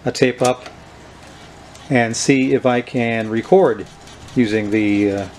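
A man's voice: mid-range voice, slow. Man demonstrating gear over background noise. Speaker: host of a vintage electronics video. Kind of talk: casual hands-on demonstration. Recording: clear steady background noise.

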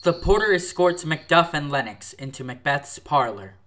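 A man's voice: fairly high pitch, lively intonation, moderate pace, fairly bright tone. A man reading scripted narration as the narrator of a radio drama.

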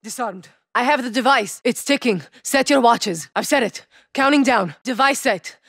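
A fierce young female soldier barking terse callouts. Her voice sits high and carries, and the studio sound is dry and close with no room around it. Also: moderate pace, vocal fry, dry recording. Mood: steady, focused. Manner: terse combat callouts, shouted warnings and low calm lines. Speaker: playable game operator. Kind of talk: video game voice lines.

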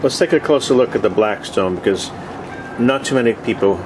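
A man giving a casual video tour in short, halting, plainspoken phrases, recorded outdoors on a boat deck with a handheld camera. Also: mid-range voice. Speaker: host of a cooking vlog. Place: open deck of a boat on the water. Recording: outdoor ambient noise.

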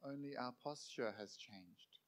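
A man who teaches meditation, guiding a sitting practice calmly and gently, in short phrases with long pauses. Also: medium pitch. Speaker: meditation teacher, Buddhist monk. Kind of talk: guided meditation.

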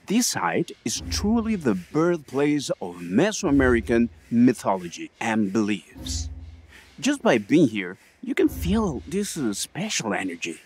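A man playing an earnest shaman and history teacher in an audio drama, with a measured, mystical manner. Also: mid-range voice, huge pitch swings, darkish tone. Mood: earnest, proud.

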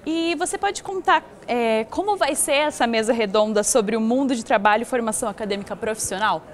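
A woman's voice: on the high side, a little fast. A woman in her twenties with a Brazilian Portuguese accent, presenting and asking prepared interview questions. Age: 20s. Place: glass-walled lobby set, seated interview.

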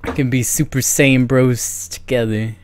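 A man's voice: mid-range voice, flat delivery, measured pace, a little hiss or hum. A young man chatting casually on a livestream, rambling and self-mocking in a distracted way. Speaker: video game livestreamer. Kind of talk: casual livestream chat to viewers. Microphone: microphone on a boom arm. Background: music.

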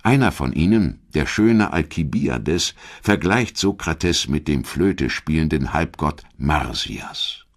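A man reading scripted documentary narration in a measured, articulate storytelling manner. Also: extremely low pitch, animated.

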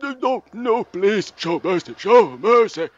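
A man's voice: sits high, big pitch swings, a little fast, creaky, husky, highs cut off, pitched above the performer's own voice, full character voice. A man voicing a boastful, whining cartoon sword fighter, taunting and complaining in an improvised comedic dub. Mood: whiny, pleading.

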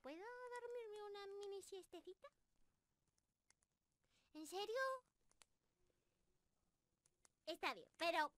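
Very high, cheeky cute squirrel girl. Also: fairly bright tone. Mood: sleepy, coaxing. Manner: playful, genki, pleading and apologetic. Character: cute, cheeky, excitable young squirrel girl, thieving but sweet. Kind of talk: ASMR roleplay, speaking to the listener.

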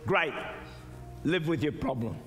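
An older man preaching a sermon as pastor, exhorting the congregation, sometimes shouting and mimicking doubters in a higher voice. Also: lively intonation, measured pace. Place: large church auditorium with stage. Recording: live church hall reverb with music. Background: soft music and congregation responses.

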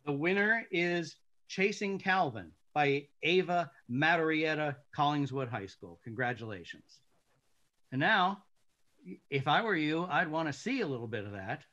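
Older guy in his 60s announcing winners, highish lively voice, lo-fi webcam audio. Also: unhurried. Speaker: award presenter. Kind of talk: announcing awards. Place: home room with window blinds. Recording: dull lo-fi webcam sound.

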